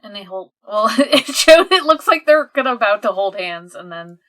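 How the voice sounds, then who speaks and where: Bright, forceful woman in casual chat. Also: medium pitch, big pitch swings, normal speed. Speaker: podcast co-host. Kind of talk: casual chat, recapping a fan comic.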